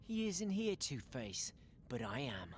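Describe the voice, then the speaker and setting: A man's voice: medium pitch, very animated, unhurried, slight background noise. A man playing a stern, impatient adventuring warrior in a video game, with terse, dramatic delivery. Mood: stern, menacing. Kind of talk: video game cutscene acting.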